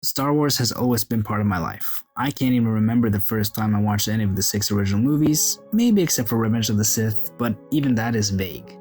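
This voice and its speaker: Guy reading his viewer message, thoughtful, bit halting, soft guitar behind. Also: fairly low pitch. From Norway. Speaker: viewer contributor. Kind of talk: read-out personal statement.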